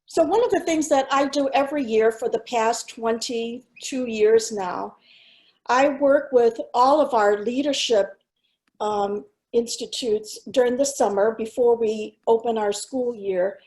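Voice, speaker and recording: A middle-aged woman, first language Cantonese, gives a keynote as a school superintendent, moving through slides at a measured pace. Her voice sits a little high, and she talks earnestly with small pauses and fillers. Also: mellow, low-quality recording. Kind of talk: presentation with slides.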